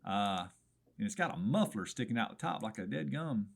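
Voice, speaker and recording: A man's voice: fairly low pitch, lively intonation, a little fast, vocal fry. A man calling in to a small-town radio swap shop, rambling in a folksy way and calling the host brother. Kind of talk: comedic call-in segment reviewing listings.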